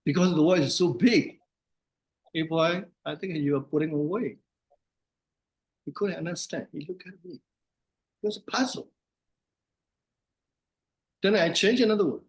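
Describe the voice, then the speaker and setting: A man's voice: high-pitched, moderate pace, pauses often, rough recording. A man from Myanmar with a Burmese accent, a monk giving a casual teaching talk and repeating phrases for emphasis. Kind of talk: casual dharma talk lesson.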